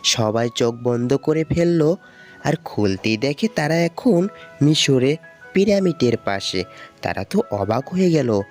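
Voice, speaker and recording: A man's voice: medium pitch, animated, a little slow, darkish tone. A man with a Bangladeshi accent reading scripted narration for a cartoon story. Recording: music under the voice. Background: background music.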